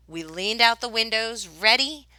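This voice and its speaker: A woman reading a children's picture book aloud in an expressive, dramatic storytelling style. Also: on the high side, unhurried, dry recording. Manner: dramatic, with whispered suspense and excited exclamations.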